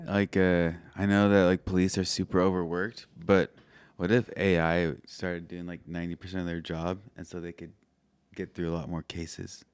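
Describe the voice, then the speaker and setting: A man's voice: low-pitched, level tone, moderate pace, clear, resonant tone, dry recording. A man co-hosting a podcast in casual, loose chat, reacting and asking questions. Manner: informal, loose, offhand. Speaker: podcast co-host.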